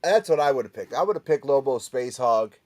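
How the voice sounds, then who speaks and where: A man's voice: medium pitch, animated, a little fast, a little mellow. A man hosting a casual panel show, bantering and introducing topics, often repeating phrases for emphasis. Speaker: podcast and radio show host.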